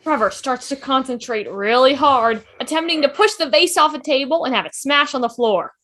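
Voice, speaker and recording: A woman with a high, animated voice reads scripted stage directions for a table read. Her narration is expressive, delivered over a video call. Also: normal speed, background noise.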